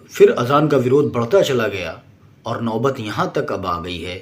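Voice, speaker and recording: Emphatic male explainer with lively pitch. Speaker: presenter. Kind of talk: scripted explainer narration.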